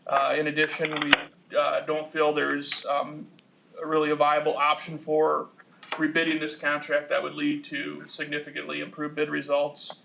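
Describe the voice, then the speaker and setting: A man formally presenting a report to a commission in a measured, businesslike, somewhat halting way. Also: fairly high pitch, monotone, vocal fry, noisy background. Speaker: project engineer presenting to a commission.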